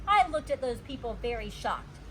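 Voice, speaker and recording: A woman's voice: fairly high pitch, normal speed, slight background noise. A woman reading a children's story aloud as a teacher would, expressively and acting out the dialogue. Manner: animated, acts out the dialogue.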